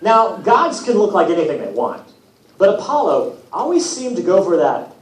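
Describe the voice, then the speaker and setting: An echoey, distant recording of a man reading as a wry teenage boy demigod telling his story. The voice is fairly high and swings widely in pitch, with self-deprecating, put-upon humour. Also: big pitch swings, normal speed. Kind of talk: first-person storybook reading. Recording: echoey room from far off, hissy noise.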